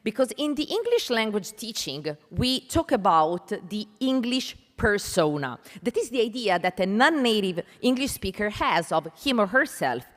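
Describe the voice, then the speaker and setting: Female panel moderator, Italian accent, very expressive pitch, emphatic stage delivery, long hall reverb. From Italy. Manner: emphatic, deliberate, poses questions to listeners. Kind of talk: conference stage presentation addressing an audience. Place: large indoor conference hall.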